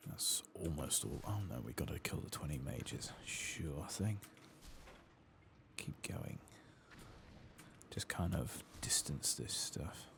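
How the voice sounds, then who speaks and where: British male, extremely low and gravelly, soft-spoken murmur for ASMR gaming commentary, audible background noise. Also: lively intonation, slow. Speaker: host of gaming playthrough.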